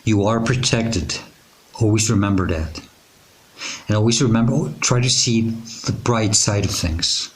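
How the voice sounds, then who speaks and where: A man with a raspy, hoarse voice giving a tarot reading, speaking in a reassuring, unhurried way with many pauses and fillers. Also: lowish voice, rough recording. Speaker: tarot reader. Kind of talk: intuitive tarot reading to camera.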